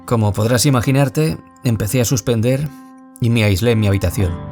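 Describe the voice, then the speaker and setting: A man's voice: lowish voice, close to an everyday voice. An actor playing a wounded young man from Spain who was bullied, confiding his story as an audio-drama interviewee with a Spain Spanish accent. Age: young adult. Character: wounded young man, former bullying victim, anguished, confiding. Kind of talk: scripted audio-drama monologue in interview form.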